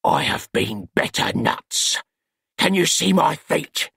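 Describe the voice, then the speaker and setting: A man's voice: fairly high pitch, sing-song, slow, creaky, a bit bright, husky, no room sound, clean studio sound, voice pushed up, full character voice. A man voicing a grumpy, self-important older master in an audiobook, curt and scolding. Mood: irritated, pompous.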